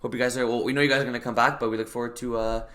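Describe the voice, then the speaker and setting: Young male co-host, bright tone, easygoing and hesitant delivery. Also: mid-range voice, racing. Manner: relaxed, hesitant with many fillers. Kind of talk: casual sports recap chat.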